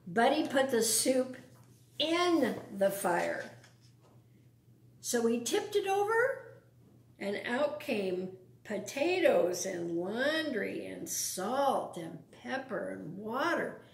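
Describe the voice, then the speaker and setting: A woman reads a children's story in a roomy, echoing space. Her voice is fairly high and very lively in pitch, and she often turns to the children with simple questions and explanations. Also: slow pace, gravelly, a little hiss or hum. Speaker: storyteller reading a picture book to children. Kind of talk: read-aloud storytime with asides to young listeners.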